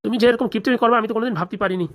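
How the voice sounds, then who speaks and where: A woman's voice: on the high side, rapid-fire, natural voice. A woman voicing a blustering middle-aged father in a comic cartoon, grumbling and fussy. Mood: stern, reproachful.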